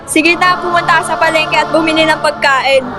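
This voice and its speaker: A woman's voice: very high voice, steady tone, brisk, loud music behind the voice. A woman playing a demanding, scolding mother in an amateur radio drama.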